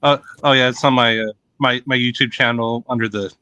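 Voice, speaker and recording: Man, casual chat, halting, many fillers, bright tone, dry recording. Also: mid-range voice, steady tone, clean tone, no room sound, lo-fi sound. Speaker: guest, YouTuber.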